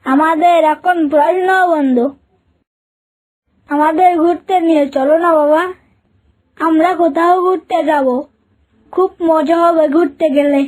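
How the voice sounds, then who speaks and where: A woman's voice: very high-pitched, steady tone, measured pace, pauses often, darkish tone. A woman voicing an eager young dinosaur boy in a children's cartoon. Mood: excited, pleading.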